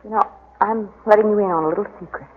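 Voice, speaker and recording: A woman's voice: mid-range voice, fast, slightly rough, lightly acted. A woman playing an uneasy, emphatic society lady in a 1940s radio drama. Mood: frightened, insistent. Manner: nervous, emphatic. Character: uneasy, candid young society lady companion. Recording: tinny, hissy 1940s radio recording.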